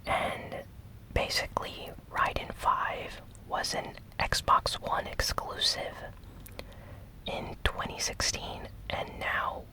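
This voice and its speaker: Slow whispered ASMR gaming news host. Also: low-quality recording. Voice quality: breathy unvoiced whisper. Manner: slow, soft, unhurried delivery.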